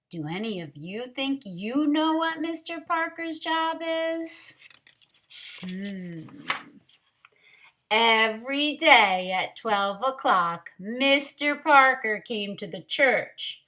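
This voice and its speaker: A woman reading a picture book aloud to young children, expressively, with drawn-out words and wondering asides to them. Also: mid-range voice, bright, forceful tone. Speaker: storytime reader for young children.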